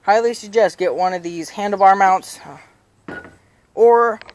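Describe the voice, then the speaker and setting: Rambling young man with a raspy voice. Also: high-pitched, a little slow, a little mellow, a little hiss or hum. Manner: rambling, full of "you know" fillers and restarts. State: slightly raspy voice. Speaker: BMX vlogger. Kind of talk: casual how-to vlog. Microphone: chest-mounted action camera.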